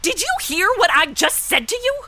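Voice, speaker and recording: A sarcastic young sidekick, played by a woman in a comic radio play. She is bright and punchy, swinging lively through exasperated, blunt asides. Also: extremely high pitch, normal speed, exaggerated voice. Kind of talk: comic audio drama acting.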